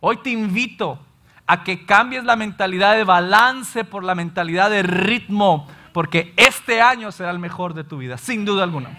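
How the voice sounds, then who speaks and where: A Salvadoran pastor in his thirties preaching an animated sermon from a church stage, often acting out his listeners' complaints. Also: high voice, moderate pace. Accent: Salvadoran Spanish. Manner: animated, gestures widely, acts out listeners' complaints. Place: church stage in front of a large screen.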